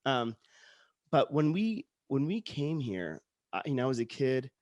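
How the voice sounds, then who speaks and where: A man's voice: mid-range voice, very animated, unhurried, a little mellow, no echo, highs cut off. A man who grew up in Utah, hosting a casual interview podcast, telling stories earnestly and with enthusiasm, with frequent "like" and restarts. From Utah, born in India. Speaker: podcast host.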